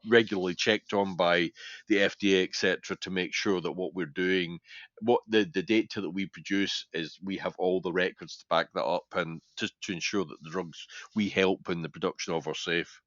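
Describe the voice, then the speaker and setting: A man's voice: deep voice, fairly even intonation, moderate pace, a bit bright, dry recording. A man co-hosting a casual podcast discussion, explaining at length in a hesitant, repetitive way. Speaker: podcast co-host. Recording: dull, dry podcast audio.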